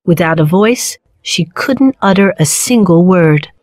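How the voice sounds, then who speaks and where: A woman narrating a children's fairy tale in a measured, clearly phrased storytelling manner. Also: lowish voice, lively intonation, a little mellow, no room sound. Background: background music.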